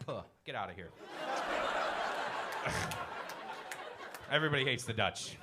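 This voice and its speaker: A young man from Iran doing a brash, animated stand-up set in a comedy club and teasing the crowd on a handheld mic. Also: mid-range voice, unhurried, very noisy. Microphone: handheld stage mic.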